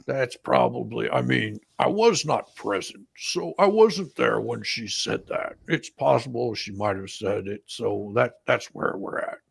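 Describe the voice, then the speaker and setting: A man playing an evasive, bumbling lawyer on the witness side of a comic deposition reading, hesitant and stammering, with a gravelly, husky voice. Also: mid-range voice, expressive, a little slow, few pauses, exaggerated voice.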